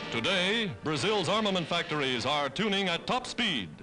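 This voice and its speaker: Male American newsreel narrator, 1940s: high, animated, declamatory delivery over loud music on an old soundtrack. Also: normal speed, rough recording. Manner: declamatory, formal.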